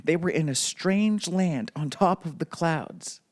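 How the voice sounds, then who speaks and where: A man's voice: fairly high pitch, lively intonation, unhurried. A man narrating a children's bedtime story as a read-aloud storybook reader.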